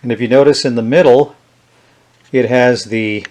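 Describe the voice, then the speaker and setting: A man with a medium-pitched voice talks through a hands-on demonstration in a casual way. He is unscripted and thinks aloud as he tests, like a hobbyist reviewer showing a device. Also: steady tone, moderate pace, a little mellow. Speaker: vintage electronics reviewer.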